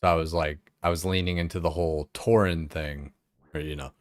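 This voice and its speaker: A man's voice: rumbling low, steady tone, brisk, no room sound, studio quality. A young man streaming a game, chatting casually in a rambling way, full of fillers and swearing. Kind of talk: casual chat while playing.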